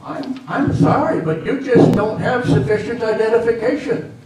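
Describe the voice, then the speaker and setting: A man on stage playing a gruff, weary and officious border guard in an amateur readers theater. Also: high voice, animated, moderate pace. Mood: stern, officious. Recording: echoey hall from far off, faint noise.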